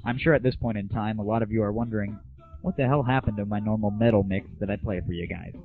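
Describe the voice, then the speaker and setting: A man's voice: lowish voice, quick pace, faint music behind. An American man hosting an online audio show, ranting in a brash, sarcastic, mocking way. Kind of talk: irreverent solo show, ranting commentary. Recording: dry, band-limited lo-fi voice.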